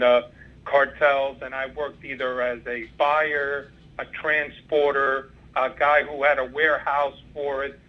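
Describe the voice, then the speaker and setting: A man in his sixties, born in Cuba and a native Spanish speaker, speaking as an interview guest over the phone. He talks in a rambling way, with many fillers and restarts. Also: mid-range voice. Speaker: former undercover FBI agent, guest. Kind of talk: interview, recounting career. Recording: muffled phone line.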